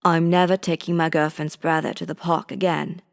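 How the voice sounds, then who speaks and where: A woman's voice: low voice, flat delivery, moderate pace, creaky. A woman reading a scripted first-person scary story as a narrator. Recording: long reverb on the voice.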